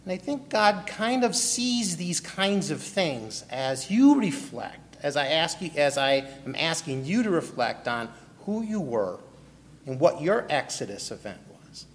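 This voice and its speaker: Slow-talking preacher in an echoey hall. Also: male voice, on the high side, sing-song. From Milwaukee. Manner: asks the listeners questions and calls on them by name. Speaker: pastor. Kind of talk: sermon, personal testimony. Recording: long hall reverb.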